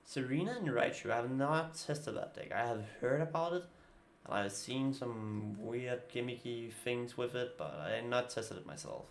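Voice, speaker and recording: A man whose voice is gravelly, with a Danish accent, muttering through his turns as he plays a card game on stream. His pitch sits in a middling range, and a faint hiss runs underneath. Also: lively intonation, moderate pace. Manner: thinking aloud while playing, casual, muttering asides. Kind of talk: live gameplay commentary.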